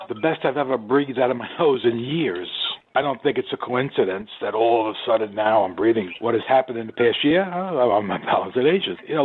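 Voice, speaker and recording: Over a tinny phone-like line with faint noise, a man gives a casual, rambling testimonial. His pitch is middling. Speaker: testimonial giver in an ad.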